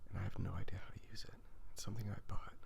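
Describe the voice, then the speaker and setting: Hushed, gravelly man murmuring softly. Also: sits low, level tone. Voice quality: hushed, breathy, half-whispered. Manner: halting, self-deprecating, musing. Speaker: host of an ASMR drawing channel. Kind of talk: soft-spoken ASMR, casual rambling chat. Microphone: phone recording.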